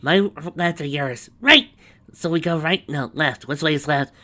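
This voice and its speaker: A scatterbrained cartoon pony mare barking orders, as a man acts her out. The voice is shrill and loud, frantic and easily flustered. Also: a little slow. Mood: frantic, confused.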